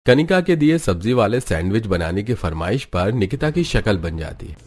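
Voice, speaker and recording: A man's voice: fairly low pitch, sing-song, normal speed, darkish tone, faint music behind. A man giving a scripted narration for a cartoon family story.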